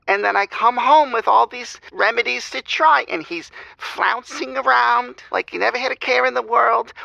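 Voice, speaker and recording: Comedy phone-in caller: exasperated cat owner, gravelly fry, complaining, on a slightly dull line. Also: female voice, fairly high pitch, bright, forceful tone, dry recording, full character voice. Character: exasperated, aggrieved cat owner calling for advice. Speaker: advice show caller.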